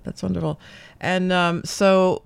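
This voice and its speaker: A woman's voice: on the low side, level tone, measured pace, gravelly, smooth, no room sound. A middle-aged woman hosting a friendly radio interview, enthusiastic and full of exclamations and small fillers. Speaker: radio show host and English department chair.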